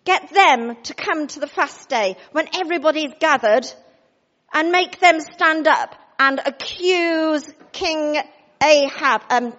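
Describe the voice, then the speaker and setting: A woman's voice: high voice, fairly bright tone, big-room sound, lo-fi sound. A woman voicing a scheming, domineering queen who orders her husband and servants about. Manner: commanding, coaxing.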